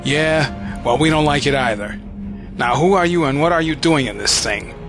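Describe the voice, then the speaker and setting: An actor playing a brusque, suspicious American army squad leader in an audio drama, using gruff 1940s slang. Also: male voice, on the high side, moderate pace, music in the background, close to an everyday voice. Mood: gruff, impatient. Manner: gruff 1940s slang, calling women lady and toots.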